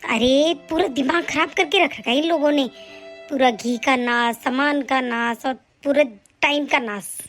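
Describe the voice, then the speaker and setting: A woman's voice: high voice, measured pace, a bit bright, soft background music, pitched below the performer's own voice. A woman voicing a bossy, nagging aunt and daughter-in-law who scolds and complains in a family skit. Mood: grumbling. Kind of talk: comic family skit acting.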